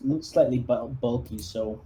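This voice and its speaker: Male game master, slow casual delivery, medium pitch, slight noise behind. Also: warm tone. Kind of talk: casual tabletop game chat.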